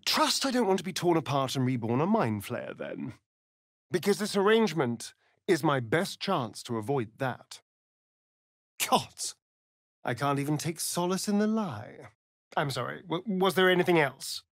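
An actor with a British accent playing a sardonic, vain elven vampire rogue in a fantasy video game, with an arch, drawling, mocking delivery. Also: male voice, on the high side, very animated, normal speed, no echo, clean studio sound. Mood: serious, wounded.

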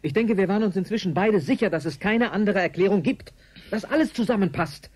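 A man's voice: high-pitched, fairly quick, a little mellow. Earnest, troubled male colleague. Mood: earnest reasoning. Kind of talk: 1980s German radio drama acting.

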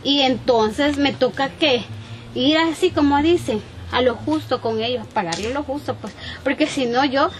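High-voiced salvadoran girl acting as a worried, indignant farm boss, repeats herself, outdoor sketch with faint noise. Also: unhurried. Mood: defensive, explaining. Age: young adult. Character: worried, indignant young female farm owner complaining about an employee. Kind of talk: amateur improvised sketch acting. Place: outdoors in a rural yard. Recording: outdoor camera sound, faint ambient noise.

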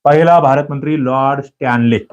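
A man teaching an exam prep lesson, quizzing his listeners with lively questions, then answering them himself. He often clears his throat. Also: medium pitch, moderate pace, muffled recording. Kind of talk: quiz-style exam prep lecture.

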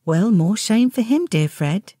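A man's voice: high voice, animated, unhurried, mellow, dry recording, studio quality, pitched above the performer's own voice. A man playing an indignant but affectionate party guest in an audio play.

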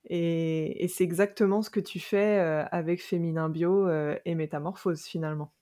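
A woman's voice: on the low side, steady tone, normal speed. A woman hosting a podcast interview, talking conversationally with frequent hesitations and easy laughter. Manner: warm, laughs easily, hesitant restarts. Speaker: podcast host.

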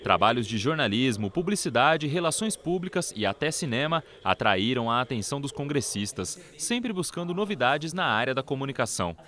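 A male TV reporter with a Brazilian accent delivers scripted news narration. His voice sits at a medium pitch and moves with lively intonation. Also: brisk, few pauses.

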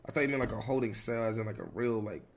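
A man's voice: fairly low pitch, racing, noisy background. A man streaming a roleplay game, talking casually and evasively with slang and swearing.

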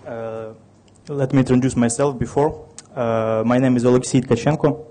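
Man, 30s, Russian accent, dark mellow voice, flat delivery, halting tech presenter. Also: mid-range voice. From Ukraine. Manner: halting, many restarts and fillers. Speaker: conference speaker. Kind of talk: technical conference presentation.

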